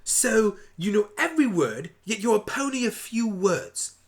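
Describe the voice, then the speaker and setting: A man's voice: high-pitched, expressive, a little slow, voice pushed up. A man voicing a brainy, flustered, eccentric time-traveling doctor, wordy and pedantic, in a fan audio drama. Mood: curious, pedantic.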